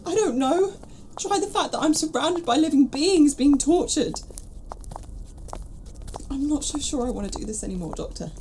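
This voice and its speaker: A questioning, compassionate sidekick, played by a woman in a sci-fi audio drama. Her voice is mellow and fairly high with lively swings of pitch, over faint background noise. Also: normal speed. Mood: upset. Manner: expressive, questioning.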